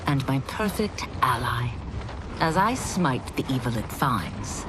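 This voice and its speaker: A woman's voice: rumbling low, lively intonation, takes it slowly, noisy background, full character voice. A woman playing a solemn reformed demoness in a video game, speaking formally and earnestly with precise diction. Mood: earnest, intense. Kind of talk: cinematic video game acting.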